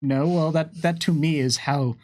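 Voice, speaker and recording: A man's voice: on the high side, fairly even intonation, normal speed, a little mellow, no echo. A man hosting a livestream, chatting casually through viewer questions in a wry, musing way with playful asides. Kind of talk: casual game lore Q&A chat.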